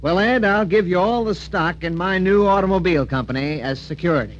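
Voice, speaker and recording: An actor playing an eager racing driver asking for a loan in a 1940s radio drama. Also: male voice, high voice, a little fast, close to an everyday voice. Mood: earnest pleading. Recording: dull old radio recording, faint hum.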